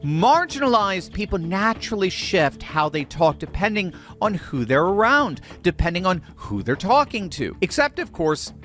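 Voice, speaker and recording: A man's voice: sits high, sing-song, normal speed, hardly any pauses, a bit bright. A man hosting political commentary, sarcastic and mocking, chuckling between remarks. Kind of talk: commentary on clips.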